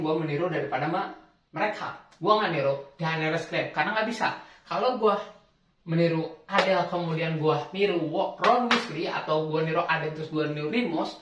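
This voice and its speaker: A man's voice: a little high, a bit bright, distant mic, a little hiss or hum. A young man explaining accents in a casual, slangy tutorial, often correcting himself mid-word. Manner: chatty, slangy, self-correcting. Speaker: language tutor. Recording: echoey room, away from mic.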